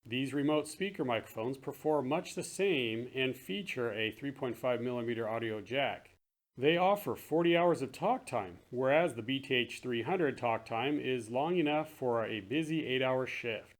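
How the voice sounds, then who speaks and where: A man's voice: mid-range voice, lively intonation, normal speed. Man presenting products in a plain voice. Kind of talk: scripted product narration, later casual demo chat.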